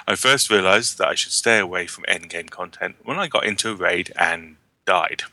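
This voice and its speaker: Deep-voiced, bright man. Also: moderate pace, no room sound. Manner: chatty, self-deprecating, laughs while reading. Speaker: podcast co-host. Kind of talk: casual chat, reading listener emails aloud.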